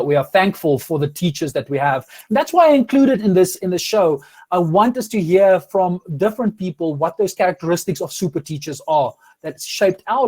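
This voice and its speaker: Afrikaans-accented male host in his thirties, high-pitched, lively, restarts often, video call sound. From South Africa. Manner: energetic, many restarts and fillers. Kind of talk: casual interview over video call. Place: home room with curtains.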